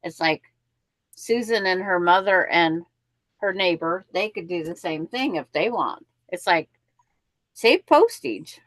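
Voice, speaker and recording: A woman's voice: lowish voice, animated, measured pace, dry recording. Chatty woman hosting a quilting show. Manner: rambling, chatty, explains quilt block steps. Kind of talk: casual live chat and craft instruction.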